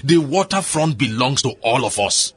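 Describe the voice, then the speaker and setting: An actor playing a self-important, evasive chief with a Nigerian accent in a radio drama, pompous and full of bluster. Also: male voice, medium pitch, lively intonation, moderate pace, husky, no room sound. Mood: defiant.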